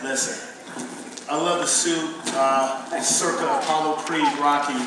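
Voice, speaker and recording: A man's voice: fairly high pitch, fairly even intonation, slow. A man on stage playing a skeptical, challenging fatherly man, recorded in an echoey hall. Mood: challenging, sardonic. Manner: challenging, sardonic. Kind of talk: live stage play acting. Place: theater stage. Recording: echoey hall, distant mic, noisy background.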